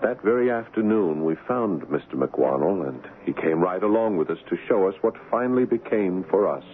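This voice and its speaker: A man's voice: sits low, expressive, moderate pace, a little hiss or hum. An actor playing an American writer husband in a 1970s radio drama, telling his tale in a dramatic, reflective way. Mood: regretful, ominous. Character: American writer husband recounting an ominous past, wistful. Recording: muffled old radio broadcast with music.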